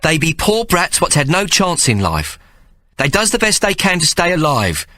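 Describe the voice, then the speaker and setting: A man's voice: on the high side, very animated, moderate pace, sharp tone, no room sound, pitched above the performer's own voice. A man voicing a poor but loving mother who speaks firmly and emphatically. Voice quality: firm, emphatic.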